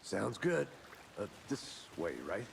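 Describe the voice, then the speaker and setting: An actor playing a dry, skeptical veteran FBI agent in a video game, gravelly and deadpan, sparing with words. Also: male voice, fairly low pitch, slow, slight background noise, exaggerated voice. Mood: businesslike. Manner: deadpan, laconic. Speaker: detective partner character.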